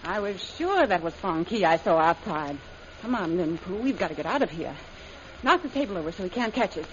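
An actress playing a cool, scheming adventuress in 1930s radio style, with a sultry, taunting manner. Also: female voice, fairly low pitch, lively intonation, brisk, lightly acted. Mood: urgent. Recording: muffled, noisy old radio recording.

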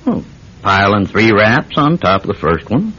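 An actor playing a wry, unhurried, folksy small-town police chief in a classic radio drama. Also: male voice, lowish voice, sing-song, bright, forceful tone, breathy edge, heavily acted. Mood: sly, folksy. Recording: dull, noisy old radio recording.

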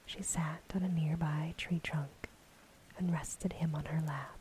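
Female ASMR storyteller, breathy whisper, dark soft tone, very unhurried narration. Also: low-pitched, steady tone, a little hiss or hum, low-quality recording. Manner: soft, slow, gentle delivery.

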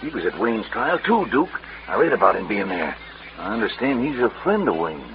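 A blunt, slangy outlaw henchman in a radio Western. His American voice is rough in manner and swings widely in pitch. The old recording is muffled, with some murmur behind. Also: very animated, fairly quick, a crowd in the background. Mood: wary. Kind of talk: 1950s Western radio drama acting.

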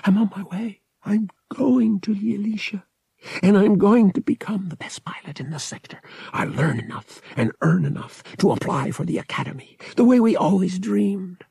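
A man voicing a determined, grieving young space pilot in a breathy, hushed, emotional way for an audiobook. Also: sits high, moderate pace, dry recording, pitched above the performer's own voice, full character voice. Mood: hushed, tearful resolve.

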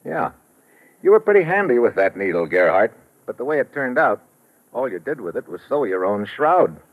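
An actor playing a sardonic, hard-boiled American investigator in a 1950s radio drama, wry and clipped. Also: male voice, mid-range voice, sing-song, normal speed, a little hiss or hum. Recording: dull old radio recording.

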